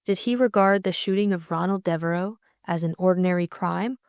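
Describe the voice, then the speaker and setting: A woman narrates a classic mystery audiobook from a script. Her voice sits a little low for a woman. It is recorded dry and free of room sound. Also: brisk, a little mellow, dry recording.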